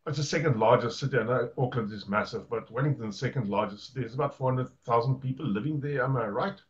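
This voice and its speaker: A man's voice: mid-range voice, fast talker, lo-fi sound. A middle-aged man with a South African accent hosting a casual video call, stammering and repeating words. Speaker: host, insurance adviser.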